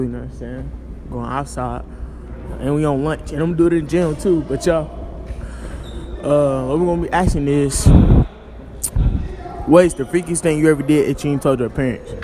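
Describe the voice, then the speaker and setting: Slangy teen boy interviewing classmates. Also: fairly high pitch. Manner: loud, slangy, laughing a lot. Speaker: host. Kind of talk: casual street interview. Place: school hallways and gym, indoors. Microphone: phone held up to mouth. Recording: noisy with chatter of a crowd behind.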